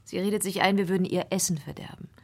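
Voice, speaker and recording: A Victorian lady of the house confides in a low, husky voice. She is earnest and anguished, with the formal manners of a radio crime drama. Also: fairly even intonation, quick pace, darkish tone. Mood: troubled, confiding.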